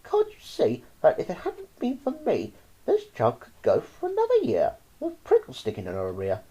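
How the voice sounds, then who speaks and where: A woman's voice: lowish voice, huge pitch swings, moderate pace, mellow, breathy edge, voice pushed up, heavily acted, low-quality recording. A woman voicing a gleeful, brash and pompous male dentist in a theatrical verse story.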